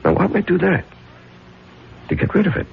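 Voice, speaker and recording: An actor in a 1970s radio drama playing a brooding husband with dark secrets, speaking in a deliberate, dramatic, self-questioning way. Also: male voice, high voice, big pitch swings, vocal fry, slight background noise, heavily acted. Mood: bitter, goading. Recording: dull 1970s radio recording with faint music.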